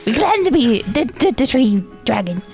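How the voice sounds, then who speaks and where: A woman voicing a small, childlike, excitable storyteller in a tabletop game, rambling bluntly and getting flustered, with music playing behind. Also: a little high, normal speed, pitched above the performer's own voice. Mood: eager, flustered.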